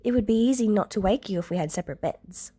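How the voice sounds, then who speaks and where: Woman as a gentle, protective older girl. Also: medium pitch, animated, quick pace, husky. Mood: subdued, honest. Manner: gentle, reassuring, casual. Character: caring older girl, protective, cheerful yet awkward.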